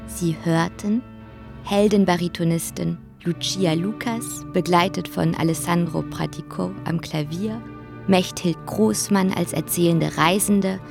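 Female announcer, low pitch, creaky voice, formal scripted credits reading, music clearly behind. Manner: formal, orderly. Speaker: closing announcer.

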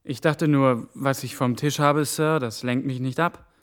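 An actor playing an earnest young intern. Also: male voice, mid-range voice, fairly even intonation, measured pace, close to an everyday voice. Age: young adult.

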